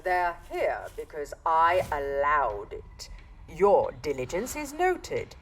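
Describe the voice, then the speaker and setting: An actress playing a composed, authoritative commander, formal and deliberate, in a sci-fi military audio drama. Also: female voice, low-pitched, very animated, heavily acted. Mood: commanding.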